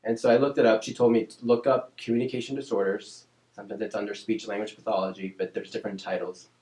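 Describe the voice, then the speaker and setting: A man who grew up in Oakland, California, speaking from a deep, low register. His first language is Spanish, and he talks in a measured, reflective way, searching for words with ums and restarts. The recording sounds roomy, with a noticeable echo around him. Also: flat delivery, darkish tone. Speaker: interview guest, speech-language pathologist. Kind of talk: reflective casual interview.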